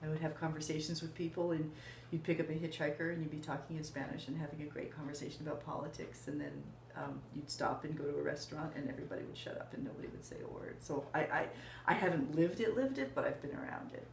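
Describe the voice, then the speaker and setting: An older woman calmly explaining her views in a casual conversation. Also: deep voice. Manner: measured, reflective, explaining. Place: small room indoors. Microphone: fixed camera, seated. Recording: roomy with faint background hiss.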